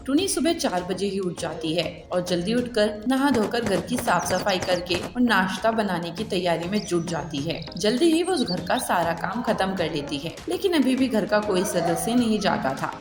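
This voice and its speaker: A woman's voice: mid-range voice, very animated, moderate pace, few pauses. Echoey female children's story narrator. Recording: echoey, music behind.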